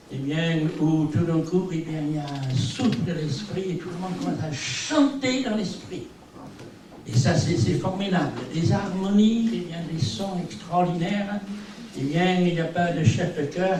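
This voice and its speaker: An older man whose first language is English preaches a sermon, his voice sitting a little high. He rambles from point to point with small asides and gentle self-mockery. He is heard from a distance, the sound washing around a very echoey hall over a faint hiss. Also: normal speed. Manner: rambling, peppered with "eh bien" and "hein". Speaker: visiting preacher.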